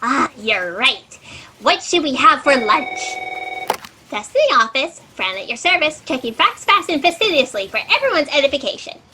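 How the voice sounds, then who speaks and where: A woman's voice: sits high, very animated, normal speed, background noise. A woman playing a scatterbrained, eager fact-checker in a comedic sci-fi audio drama, chirpy and rambling as she goes. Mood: oblivious, musing. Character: scatterbrained, eager, chatty female fact-checker in a cosmic office.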